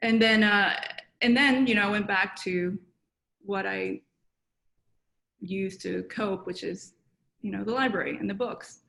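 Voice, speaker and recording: A woman psychotherapist from South Korea, answering interview questions thoughtfully over a video call, with many pauses and fillers. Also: mid-range voice, creaky, a bit bright. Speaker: guest psychotherapist. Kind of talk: reflective personal interview answers.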